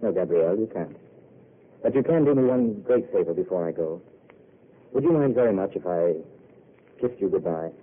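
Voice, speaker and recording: A man playing a gentle, rueful wandering intellectual in a 1940s radio play, courtly and soft-spoken. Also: deep voice, expressive, normal speed, long pauses, slight background noise. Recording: tinny old radio broadcast.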